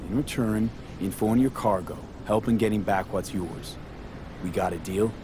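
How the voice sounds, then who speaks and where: A man's voice: lowish voice, normal speed, natural voice. An actor playing a cool, pragmatic male street mercenary in a video game, talking in clipped, matter-of-fact phrases. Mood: businesslike. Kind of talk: naturalistic video game acting. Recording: vehicle and ambience noise behind.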